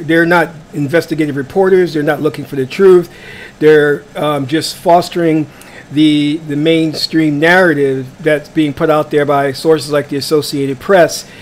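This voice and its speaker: A man hosting his own live online broadcast, sounding stuffy from a cold and talking in a halting way with many fillers and restarts. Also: a little high, a little hiss or hum. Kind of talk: casual live commentary. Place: indoors, warm room. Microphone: adjustable microphone.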